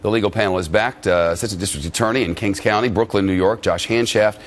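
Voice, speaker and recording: Low-voiced male news anchor, blunt and skeptical. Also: fast talker. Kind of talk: news reading and panel moderating.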